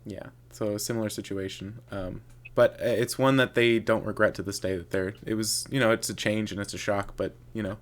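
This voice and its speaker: A man's voice: fairly low pitch, steady tone, fairly quick, few pauses. A man hosting a podcast, asking casual, loosely phrased questions with many fillers. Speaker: podcast host.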